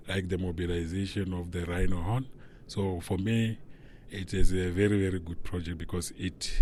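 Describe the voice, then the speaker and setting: Very low-voiced guy, slow and halting, lots of uhs, talking as a community leader. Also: slight background noise. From South Africa. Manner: halting, with many pauses and fillers. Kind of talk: interview answers.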